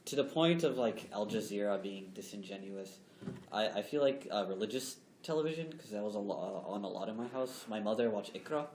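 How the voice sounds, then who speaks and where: A man with a lowish voice talks casually on a panel, conversational and ready to joke. The room around him is echoey, with faint background noise. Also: expressive, a little fast, a little mellow. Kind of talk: panel discussion.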